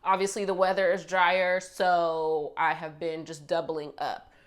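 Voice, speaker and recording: A woman's voice: fairly low pitch, little pitch movement, a little slow. Congested young woman chatting about hair care. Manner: chatty, upbeat, walks through each step. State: congested from a cold. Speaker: beauty vlogger. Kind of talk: casual hair-care vlog.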